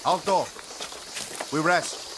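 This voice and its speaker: Tired rebel grunt, high voice, radio drama, birds and bugs loud behind. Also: male voice, slow, background noise. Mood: calling out. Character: weary, protesting male rebel foot soldier. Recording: clear jungle bird and insect ambience.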